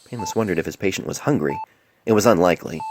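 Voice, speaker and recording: A man reading a dramatic story in a somber, deliberate manner, recorded on a cell phone mic. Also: low-pitched, darkish tone, a little hiss or hum. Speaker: author reading own story. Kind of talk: dramatic scripted narration. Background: music and sound effects.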